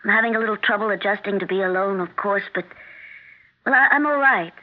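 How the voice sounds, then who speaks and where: A woman's voice: medium pitch, fast talker, close to an everyday voice. An actress playing a troubled, politely insistent woman in a 1970s radio drama, uneasy in manner. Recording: tinny, narrow-band 1970s radio broadcast recording.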